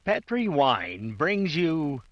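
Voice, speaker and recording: An actor playing a grand, deliberate 1940s radio show announcer on a noisy lo-fi recording. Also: male voice, fairly high pitch, huge pitch swings, over loud music, full character voice.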